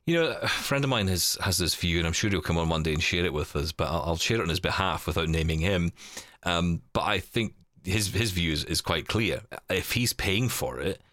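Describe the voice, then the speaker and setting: A man's voice: deep voice, brisk, hardly any pauses, a bit bright, dry recording, studio quality. A man hosting a casual podcast chat, speaking in a conversational, opinionated way. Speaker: podcast host.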